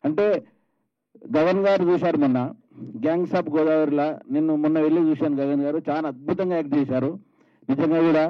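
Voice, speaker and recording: A man with a Telangana Telugu accent speaks from a stage in a fairly high voice. He addresses people by name in an informal speech of thanks. The sound is dry and somewhat muffled. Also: steady tone, a little fast, no room sound. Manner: calls people out by name, conversational. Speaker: film producer. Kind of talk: informal public thank-you speech at a film event. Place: film trailer launch stage.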